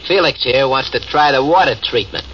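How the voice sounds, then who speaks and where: A man's voice: medium pitch, expressive, fairly quick, lightly acted. An actor playing a ruthless, greedy male gang boss in an old radio drama, speaking in a menacing, threatening way. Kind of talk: 1940s radio acting. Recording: distant, lo-fi, noisy old radio recording.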